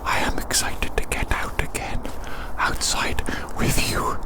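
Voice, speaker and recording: Casting: ASMR performer for whispered roleplay rambling, playful and teasing, repeating phrases softly. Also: a little slow. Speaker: ASMR video creator. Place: outdoors in winter woods.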